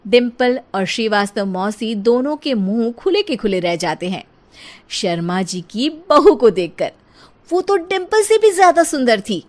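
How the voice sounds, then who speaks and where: High-voiced woman narrating a story. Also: animated, unhurried. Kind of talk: scripted comic storytelling narration.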